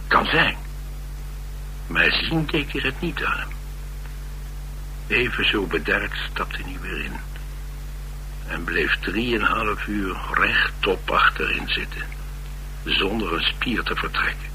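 A man's voice: deep voice, expressive, slow pace, long pauses, bright, forceful tone, full character voice, low-quality recording. A man with a Netherlands Dutch accent playing a blunt, world-weary chauffeur in a radio drama, confiding and sardonic. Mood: sardonic storytelling. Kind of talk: radio drama acting, colloquial storytelling. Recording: radio drama with faint hum and noise.

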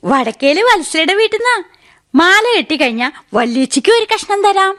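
A proud, chirpy little girl who fusses over her big sister. Her voice is high and bounces around. It sounds like an old radio drama. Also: big pitch swings, normal speed, fairly bright tone, heavily acted. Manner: chirpy, childlike.

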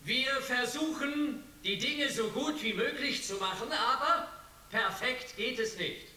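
An actor playing a defensive spokesman in a radio play, measured and conceding, in a hissy, echoey recording. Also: very high voice, sharp tone, full character voice. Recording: hissy, echoey, distant microphone.

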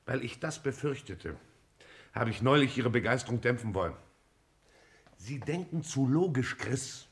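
A man of medium pitch played by an actor as a skeptical scientist colleague. He speaks calmly, in the style of an old German radio play. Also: moderate pace, pauses often, close to an everyday voice. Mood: reproachful, subdued. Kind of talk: 1950s German radio drama acting.

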